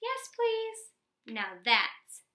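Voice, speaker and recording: Female storybook reader, teacher type: bright, forward tone, expressive emphasis, roomy echoing sound. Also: very high voice. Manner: animated, dramatic emphasis and pauses. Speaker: elementary teacher reading a picture book aloud. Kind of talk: expressive scripted storybook narration for children.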